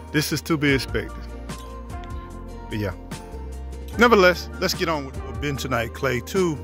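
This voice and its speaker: Relaxed man vlogging outdoors on his land. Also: mid-range voice. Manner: relaxed, conversational, drops to near whisper at times. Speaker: homesteading vlogger. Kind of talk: casual walking vlog. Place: outdoors on a wooded rural homestead. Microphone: handheld camera at arm's length.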